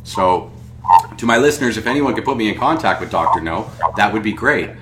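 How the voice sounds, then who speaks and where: A man's voice: on the low side, animated, a little fast, music in the background. A man hosting a podcast, talking in an enthusiastic, rambling way full of fillers. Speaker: podcast host. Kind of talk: podcast interview.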